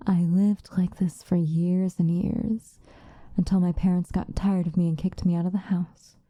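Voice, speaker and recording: Woman playing a dark, hushed, seductive vampire. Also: on the low side, little pitch movement, dry recording, close to an everyday voice. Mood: somber, resigned. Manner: soft, breathy, drifting into whispers, unhurried. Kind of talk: ASMR roleplay, intimate confessional monologue. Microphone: close microphone, dry.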